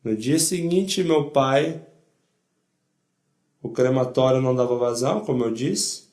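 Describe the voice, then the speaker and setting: An older man with a foreign accent in Portuguese, giving spoken testimony in a deliberate, emphatic way with short repeated phrases. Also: mid-range voice, animated, darkish tone, roomy sound. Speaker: Holocaust survivor giving testimony. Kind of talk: spoken first-person testimony to an audience.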